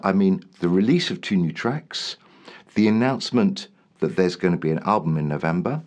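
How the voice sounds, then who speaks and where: A man hosting his podcast in a rambling, chatty way, his voice throaty and slightly raspy today. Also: low-pitched. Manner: rambling, lots of ums and restarts. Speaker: podcast host. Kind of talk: casual solo podcast monologue.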